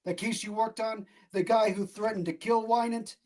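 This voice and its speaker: A man's voice: high voice, steady tone, moderate pace, darkish tone, close to an everyday voice. A man playing a harried, brusque but friendly police inspector in a vintage-style radio play, speaking colloquially. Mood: businesslike.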